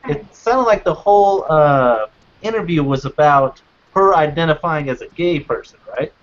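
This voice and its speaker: A man co-hosts a casual podcast, swearing often and wandering through his points with restarts and fillers. His voice sits high for a man. The recording is dull and lo-fi. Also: moderate pace. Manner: profane, rambling, with restarts and fillers. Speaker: podcast co-host. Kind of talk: casual chat.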